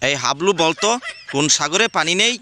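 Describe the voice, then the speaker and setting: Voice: medium pitch, lively intonation, brisk, bright, forceful tone, a little hiss or hum. Scolding cartoon schoolteacher. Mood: stern. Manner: scolding, exclaiming. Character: strict exasperated male schoolteacher. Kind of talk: cartoon voice acting.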